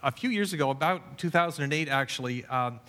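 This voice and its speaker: A bright, forceful man with a rather high voice, speaking earnestly to a congregation. He often stumbles and restarts his words. His voice rings with the long reverb of a church hall. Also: lively intonation, fast talker. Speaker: pastor. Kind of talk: church service introduction.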